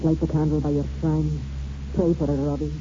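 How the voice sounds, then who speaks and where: A man's voice: on the high side, level tone, fairly quick, natural voice. An actor playing an anxious, grieving middle-aged father in a 1940s radio drama. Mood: distressed. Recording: noisy, dull 1940s radio broadcast.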